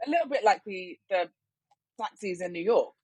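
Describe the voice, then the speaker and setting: A woman's voice: medium pitch, fairly quick, no echo. Chatty West London woman. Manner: expressive, laughing, chatty. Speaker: guest, workplace culture consultant. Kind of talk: casual interview chat.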